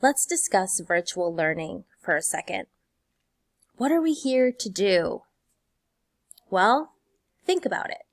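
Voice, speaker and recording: Slow, encouraging woman teacher. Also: medium pitch, very animated, no room sound, clean studio sound. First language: Spanish. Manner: earnest, encouraging, measured. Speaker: high school Spanish teacher. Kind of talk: scripted welcome message to students.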